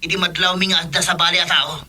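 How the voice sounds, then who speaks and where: A man's voice: sits high, little pitch movement, fast, sharp tone, exaggerated voice. A man with an Ilocano accent playing a combative, urgent gang gunman in a radio drama. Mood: reporting anxiously. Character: tense male gunman leading an armed gang. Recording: noisy, sirens and vehicle effects behind. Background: sirens and vehicle sound effects.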